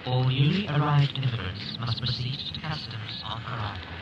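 A man's voice: mid-range voice, normal speed, lightly acted. A man playing an official spaceport announcer in a sci-fi audio drama, giving formal public announcements. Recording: muffled, very noisy tannoy-like sound.

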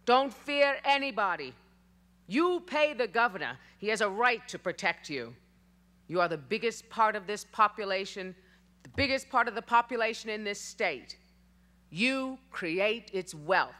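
A woman's voice: medium pitch, lively intonation, unhurried, a bit bright. A woman giving a rousing, declamatory dramatic reading of a historical speech from a theater stage, speaking into a stand mic at a lectern. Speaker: stage reader.

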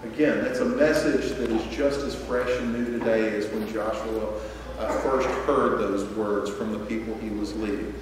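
A man in his forties stands at a pulpit and gives an earnest church address at a middling pitch. His voice booms with long reverb through a large hall. It comes from a distance off a podium mic, with noise audible behind it. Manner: earnest, steady speaking with filler pauses. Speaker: church program leader. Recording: echoey hall, voice heard from far off.

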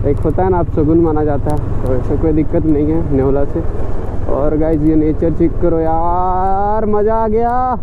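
A man's voice: fairly high pitch, a little slow. A young man chatting to viewers while riding a motorbike through hilly countryside, cheering them on as he goes. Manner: chatty, hypes viewers up, calls them guys. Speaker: motorcycle vlogger. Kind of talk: casual riding vlog commentary. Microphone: helmet-mounted action camera mic. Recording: muffled narrow-band audio with road noise. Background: engine and road noise.

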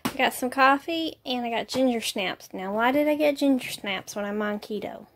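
A woman's voice: on the high side, moderate pace, a bit bright. Congested, tired woman vlogging. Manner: chatty, rambling, many fillers. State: congested, nasal. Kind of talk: casual chat to camera. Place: home kitchen and parked car. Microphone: phone at arm's length.

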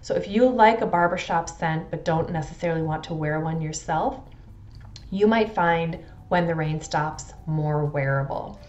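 A middle-aged woman talks through a review in a fairly low voice. She is measured and thoughtful, leaning on her descriptive words as she speaks casually to camera. The indoor recording sounds a little muffled. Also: a little mellow. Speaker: fragrance reviewer. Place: indoors in a furnished room at home.

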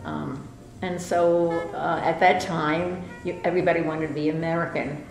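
An older woman from New York, an interviewee, remembering her past in an unhurried way with many hesitations. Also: low-pitched, fairly even intonation, gravelly. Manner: unhurried, with frequent hesitations and restarts. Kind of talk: casual reminiscing interview. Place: indoor library room with bookshelves. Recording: roomy echo, music underneath.

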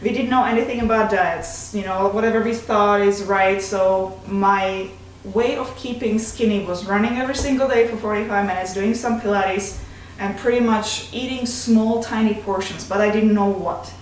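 A woman's voice: mid-range voice, steady tone, moderate pace. Czech-accented woman in a very echoey kitchen. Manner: candid, slightly broken grammar. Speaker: vlog host. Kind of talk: casual chat. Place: home kitchen, indoors. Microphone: desk mic on tripod, camera at a distance. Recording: very echoey kitchen, distant mic, faint hiss.

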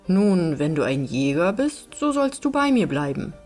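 A woman's voice: on the low side, sing-song, a little slow, darkish tone, soft background music. A woman voicing a lordly, formal master of an enchanted castle in a storybook reading. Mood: commanding. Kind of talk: fairy-tale storybook reading.

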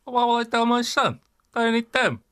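A man's voice: extremely high pitch, normal speed, fairly bright tone, dry recording, studio quality. A man playing a put-upon, wistful butcher's assistant who whines and protests in a comic radio drama. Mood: protesting.

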